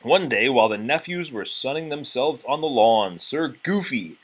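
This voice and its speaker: A man's voice: mid-range voice, lively intonation, moderate pace, slightly rough. A man reading a children's storybook aloud as a parent, expressively, with explanatory asides to children.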